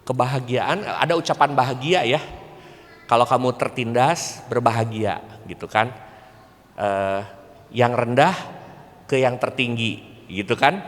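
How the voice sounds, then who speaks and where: A man speaks at a church parenting seminar with a Sundanese-tinged Indonesian accent. His voice sits somewhat high for a man, and he teaches conversationally, regularly checking that listeners follow. The sound carries the long reverb of a hall. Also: fairly bright tone. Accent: Indonesian with West Javanese (Sundanese) colouring. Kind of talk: public seminar talk with preaching.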